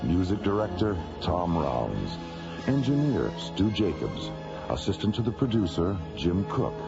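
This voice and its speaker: A man's voice: sits low, huge pitch swings, measured pace, vocal fry. A man narrating a scripted radio drama and reading its credits as the announcer. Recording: dull lo-fi old radio recording. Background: loud music.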